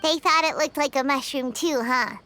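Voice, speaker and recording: A woman's voice: high voice, normal speed, sharp tone, slight background noise. A woman voicing a small, chirpy, childlike fairy companion with an eager manner in a video game. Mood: puzzled. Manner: refers to herself in the third person. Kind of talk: animated video game dialogue.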